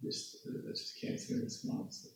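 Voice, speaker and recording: A man sharing in a group circle, halting and earnest with many pauses and fillers. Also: background noise. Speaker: sangha member sharing. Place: meditation hall. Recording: very echoey hall.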